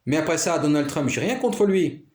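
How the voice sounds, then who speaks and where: A man's voice: a little high, animated, moderate pace, a little mellow, roomy sound. A man talking in a rambling monologue, repeating himself and checking that the listener follows. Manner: rambling, repeats himself, keeps asking "you understand?". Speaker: vlogger.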